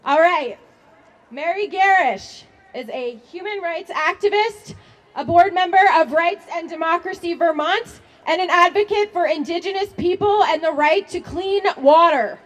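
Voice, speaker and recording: A woman emceeing an outdoor rally, briskly introducing the next speaker. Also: very high voice.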